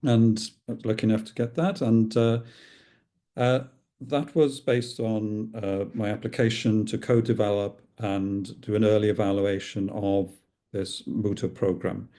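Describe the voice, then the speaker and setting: Halting, mellow-voiced man. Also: on the low side, flat delivery. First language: Welsh. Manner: halting, with many fillers and restarts. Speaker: clinical researcher. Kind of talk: recorded talk.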